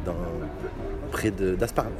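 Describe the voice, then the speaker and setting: A middle-aged man who owns a bar, answering interview questions in a casual, hesitant way with many pauses and fillers. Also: deep voice, fairly even intonation, over loud music. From France. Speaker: bar owner.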